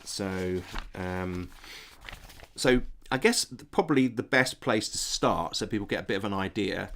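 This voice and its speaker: A man's voice: lowish voice, expressive, unhurried, fairly bright tone, a little hiss or hum. Stuffy-nosed man chatting on stream. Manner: hesitant, many ums and restarts, friendly toward viewers. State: stuffy nose from a cold. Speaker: game designer hosting a live stream. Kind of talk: casual live-stream chat, reading out chat messages.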